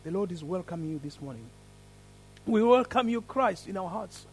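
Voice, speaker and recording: A man with an African accent leading a church service, praying and then telling a story in an earnest, lively way. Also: high-pitched, moderate pace, husky, poor audio. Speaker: preacher. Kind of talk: sermon with prayer and story.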